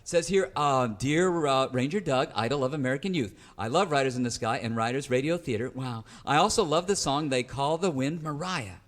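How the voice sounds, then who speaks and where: An actor playing a simple, eager cowboy sidekick on a comic western radio show, reading in a halting, folksy way. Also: male voice, mid-range voice, in a large hall, close to an everyday voice.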